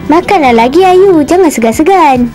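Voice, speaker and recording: A woman's voice: sits high, expressive, moderate pace, over music. A woman voicing a caring, bossy young big sister in a cartoon. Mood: welcoming. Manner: earnest, scolding, motherly. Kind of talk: cartoon voice acting.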